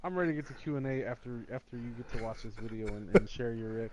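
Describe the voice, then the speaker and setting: A man's voice: medium pitch, fast talker, a little mellow, slight background noise. A man co-hosting a gaming podcast, talking in an emphatic, profane, ranting way. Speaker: podcast co-host. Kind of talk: casual gaming podcast chat. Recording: dull lo-fi mic.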